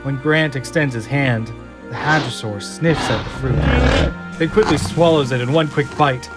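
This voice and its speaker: A man narrates an audio drama from a script in a dramatic style. His voice is medium in pitch, with music and sound effects clearly behind it. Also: lively intonation, measured pace.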